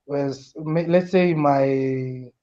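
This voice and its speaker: A man's voice: medium pitch, slow pace, warm tone. A young Kenyan man with a Kenyan accent, calling into a discussion and arguing earnestly, often restarting his sentences. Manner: earnest, repeats and restarts while building an argument. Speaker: caller in a discussion. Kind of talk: casual opinion chat. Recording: dull lo-fi audio.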